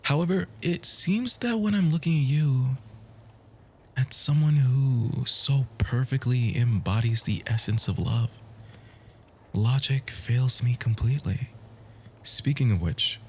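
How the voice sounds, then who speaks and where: Man acting a slow-talking, soft, flirty bookish charmer. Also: medium pitch, a little hiss or hum, natural voice. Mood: apologetic, tender. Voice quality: soft, breathy, often near a whisper. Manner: formal, wordy, gently hesitant flirting. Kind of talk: ASMR romance roleplay.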